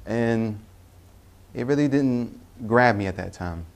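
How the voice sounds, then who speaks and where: A young man from Tennessee, telling his personal faith story in a halting way, with frequent pauses and self-corrections. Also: mid-range voice, vocal fry. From Tennessee, US Southern. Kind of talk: personal testimony.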